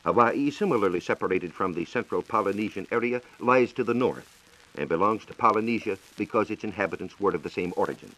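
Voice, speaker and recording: A man's voice: fairly low pitch, fast talker, a bit bright, rough recording. A man with an American accent giving a formal, explanatory scripted science talk on 1940s radio. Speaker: science reporter.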